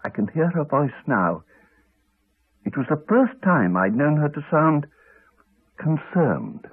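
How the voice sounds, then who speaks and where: An actor playing a courteous, wry English country doctor, middle-aged, with a hesitant, chatty manner in a 1980s radio drama. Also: male voice, fairly high pitch, very animated, takes it slowly, slightly rough, exaggerated voice. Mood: hesitant, serious. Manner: hesitant, chatty, clipped phrases. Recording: slightly dull old radio recording.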